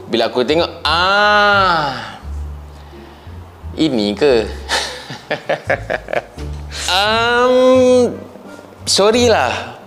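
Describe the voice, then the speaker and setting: A young Malaysian man with a Malaysian Malay accent, giving a casual, sarcastic commentary vlog. He mocks, mutters low, then jumps up to high exclaims. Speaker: YouTube commentator.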